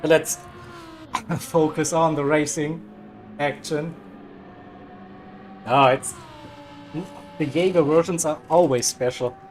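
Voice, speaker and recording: Hoarse upbeat male race commentator. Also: fairly high pitch, fairly even intonation, takes it slowly, pauses often, slight background noise. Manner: upbeat, laughs often, self-mocking. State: hoarse, voice cracking. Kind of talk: live sim racing commentary.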